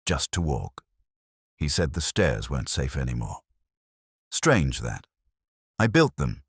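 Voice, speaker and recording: A man with an extremely low, husky voice narrates a detective story in the first person. He sounds like a weary, introspective investigator, brooding and measured in short literary phrases. His pitch moves expressively, and the recording is dry and close. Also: sing-song, no room sound.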